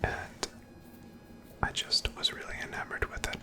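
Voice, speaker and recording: A person whispering unhurried ASMR commentary over gameplay in a breathy, unvoiced voice, musing aloud. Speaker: ASMR game streamer.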